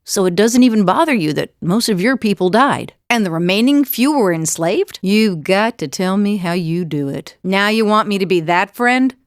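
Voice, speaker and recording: A woman's voice: medium pitch, animated, moderate pace, few pauses, no room sound, studio quality, close to an everyday voice. A woman voicing a bold, teasing female fighter and wanderer in a fantasy video game, with a wry, conversational delivery. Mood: teasing, curious.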